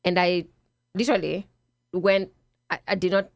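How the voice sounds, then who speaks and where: A Kenyan woman with a lowish, slightly nasal voice talks through her story in a loose, chatty way. She restarts her sentences and acts out what she told herself. The recording is close and dry. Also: fairly even intonation, normal speed, no room sound. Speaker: guest. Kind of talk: casual interview chat.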